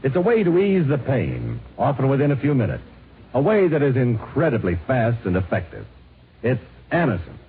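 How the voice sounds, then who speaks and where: A man announcing a 1950s radio commercial in a deliberate, persuasive manner. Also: medium pitch, breathy edge. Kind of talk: 1950s radio advertising read. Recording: tinny, noisy vintage radio broadcast.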